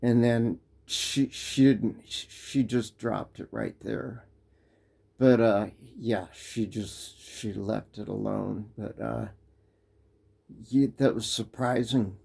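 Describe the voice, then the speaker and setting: An American man telling a personal story in a casual, halting way, full of restarts and fillers. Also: lowish voice, fairly even intonation, vocal fry, mellow, husky. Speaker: vlogger. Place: living in Japan.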